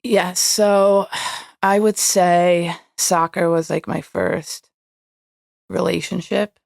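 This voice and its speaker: Soft-spoken woman with a gravelly edge. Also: on the low side, flat delivery, slow pace, no echo. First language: Hebrew. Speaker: podcast guest. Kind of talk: candid personal interview.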